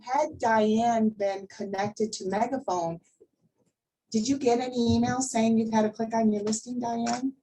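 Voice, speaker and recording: A woman's voice: a little high, fairly even intonation, a little slow, warm tone. A woman leading an informal workplace class, talking conversationally and emphatically, checking in with the group and repeating words to drive points home. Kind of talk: informal training presentation with questions to the group. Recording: distant mic, faint background noise.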